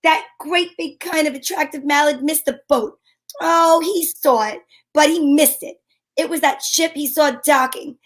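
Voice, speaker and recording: Actress as female gumshoe, high-pitched, sassy and wry, old-time radio style. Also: steady tone, a little slow, a bit bright. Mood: wry narrating. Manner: brash, slangy, wry. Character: sassy, wisecracking female private eye.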